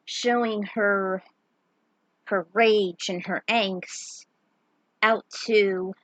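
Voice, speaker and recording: Woman narrating a story, mid pitch, earnest, unhurried, dry close voice. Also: dry recording, low-quality recording. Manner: earnest, unhurried reading with small stumbles. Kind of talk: reading her own fan fiction aloud.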